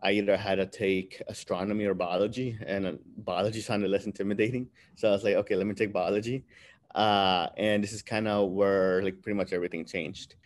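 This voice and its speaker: A man in his thirties, born in Peru and raised in New Jersey, telling his life story as an interview guest on a video call, relaxed and filler-heavy. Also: lowish voice, fairly quick. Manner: relaxed, many fillers, laughs between phrases. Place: room with bright window behind. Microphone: webcam on video call.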